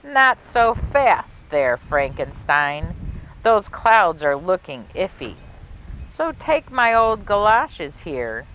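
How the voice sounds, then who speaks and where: A woman's voice: lowish voice, big pitch swings, takes it slowly, a bit bright, dull audio, a little hiss or hum, exaggerated voice. A woman voicing a fussy, bossy, overprotective parent in a children's storybook, interrupting and nagging insistently.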